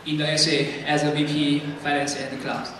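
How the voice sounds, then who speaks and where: Young guy, student candidate, lots of ums, voice a bit high, big echoey hall. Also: completely flat delivery. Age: young adult. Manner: halting, many fillers. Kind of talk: candidate answering questions. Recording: echoey hall, distant mic, noisy.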